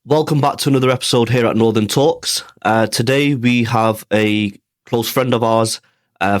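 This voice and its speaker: A man's voice: lowish voice, no echo, clean studio sound. A man hosting a podcast interview, asking questions casually with hesitant fillers. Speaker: podcast host.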